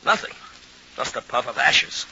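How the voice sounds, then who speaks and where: Rattled military man for vintage radio: mid-pitched, tense, disbelieving, faint noise on a muffled recording. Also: normal speed, bright, forceful tone. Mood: stunned, disbelieving. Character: shaken male soldier reporting disaster, stunned. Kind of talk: 1950s radio drama acting.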